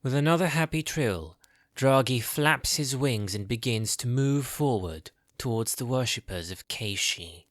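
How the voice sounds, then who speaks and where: A man's voice: mid-range voice, animated, unhurried, fairly bright tone, no room sound. Man narrating fantasy drama, close and dry.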